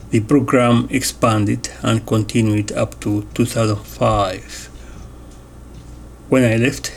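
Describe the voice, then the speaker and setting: A man reading an interview transcript aloud in a measured way, announcing each question and answer. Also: medium pitch, gravelly, slight background noise. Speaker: voice-over reader of an interview transcript.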